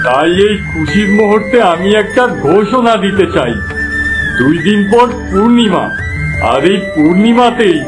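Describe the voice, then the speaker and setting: A man's voice: high voice, expressive, measured pace, rough recording. A man voicing a proud, benevolent king in a fairy-tale cartoon, with a grand, declamatory delivery. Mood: joyful, proclaiming. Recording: music behind the voice.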